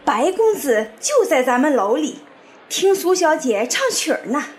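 Period radio play: actress as fawning hostess, effusive, very animated high pitch. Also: female voice, a little slow, slight background noise. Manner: obsequious, effusive. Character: fawning, apologetic female servant of a pleasure house. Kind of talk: Chinese period audio drama acting.

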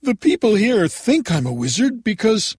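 A man's voice: high-pitched, sing-song, normal speed, darkish tone, slightly rough, no echo, studio quality, pitched above the performer's own voice, exaggerated voice. A man voicing a little old man who pretends to be a great wizard, by turns grandiose and apologetic. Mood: confiding.

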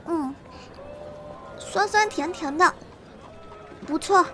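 Fierce, naive girl disciple for a martial-arts radio play, female actor: tiny, very high voice, blunt and proud. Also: slow pace, sharp tone, soft background music, exaggerated voice. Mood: defiant, sulky. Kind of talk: Chinese wuxia audio drama acting.

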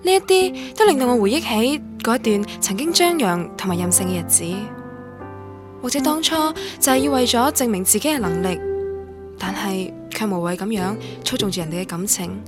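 A woman's voice: medium pitch, expressive, moderate pace, over music, close to an everyday voice. An actress playing a lonely young woman student, narrating her memories in Cantonese radio drama in a wistful, literary manner. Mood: wistful, reflective. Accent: Cantonese from Guangdong.

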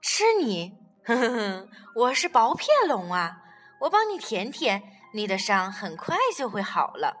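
A woman voicing a gentle, kind and lonely female sea reptile in a children's audio story, speaking softly and kindly. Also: medium pitch, very animated, unhurried, voice pushed down. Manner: soft, kindly, gently questioning. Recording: reverberant room with music underneath.

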